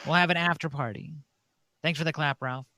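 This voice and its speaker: A man's voice: on the high side, steady tone, a little fast, pushed and bright, slight background noise. A man casually hosting a call-in show, chatting with guests and callers.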